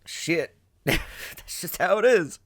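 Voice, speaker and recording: A man's voice: high voice, expressive, slow pace, fairly bright tone, husky. A man giving casual, rambling commentary as a reaction host, emphatic, often tagging on "right?" and swearing freely. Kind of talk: casual spoken commentary on an audio drama.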